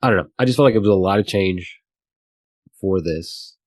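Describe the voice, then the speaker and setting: A man co-hosting a podcast, talking casually in a halting, hesitant way and qualifying himself. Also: low-pitched, no room sound, studio quality. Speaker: podcast co-host.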